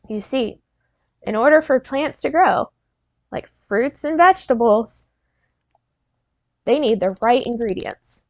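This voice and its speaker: A woman reading a picture book aloud as a teacher, pausing to prompt and encourage young listeners in an instructional way. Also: on the high side, dry recording, lo-fi sound. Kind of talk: interactive read-aloud, storytelling with questions to young listeners.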